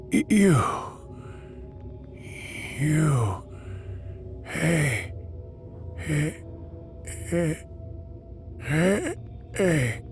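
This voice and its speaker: An actor playing a distraught researcher in a video game, raspy and gravelly, speaking in a grave, halting, theatrical way. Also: male voice, on the high side, exaggerated voice. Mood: dazed, muttering. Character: distraught male researcher recording a grim last testimony. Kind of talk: dramatic video game acting.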